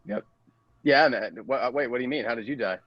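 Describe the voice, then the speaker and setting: A man's voice: mid-range voice, quick pace, no echo, lightly acted. A man playing a casual, pushy bro who cajoles in slangy, hesitant talk, in improvised comedy with a dull, dry sound. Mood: chummy. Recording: dull, dry close recording.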